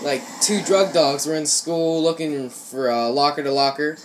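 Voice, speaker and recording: A man's voice: on the high side, normal speed. A young American man telling casual stories over gameplay, with slangy, swear-heavy talk. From Ohio, United States. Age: teenager or young adult. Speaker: gaming YouTuber. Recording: noisy home recording, gameplay sounds behind. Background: shooter game sounds.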